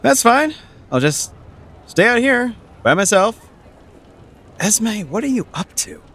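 A man's voice: high-pitched, big pitch swings, takes it slowly, a bit bright, exaggerated voice. A man playing an anxious young lookout in an audio drama. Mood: uneasy.

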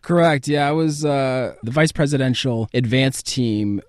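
A man's voice: medium pitch, measured pace, a little mellow, no room sound. A man with an American accent giving conversational answers as an interview guest. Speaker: interview guest, political campaign advance man.

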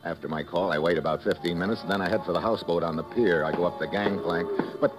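A man's voice: deep voice, steady tone, fast, natural voice. An actor playing a tough, wisecracking special agent who narrates his own case in laconic hard-boiled style, in a 1950s radio drama. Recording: old radio recording with music.

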